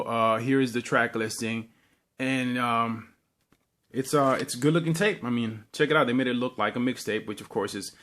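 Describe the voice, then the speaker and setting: A man talking casually and unscripted as a vlogger, in a halting, rambling way with repeated words. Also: on the low side, sharp tone, a little hiss or hum. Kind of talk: casual unscripted show-and-tell.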